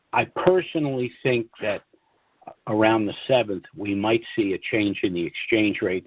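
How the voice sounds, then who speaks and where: A middle-aged or older American man hosting a client conference call, speaking informally and in a measured way with hesitant mid-sentence pauses. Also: on the low side, dry recording. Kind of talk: informal financial monologue to clients. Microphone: conference call line. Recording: dull, dry, lo-fi conference call audio.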